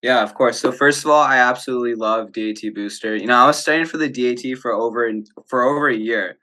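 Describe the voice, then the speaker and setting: A man's voice: mid-range voice, fast talker, bright, forceful tone. A young man giving an enthusiastic, conversational testimonial over a video call. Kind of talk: casual testimonial.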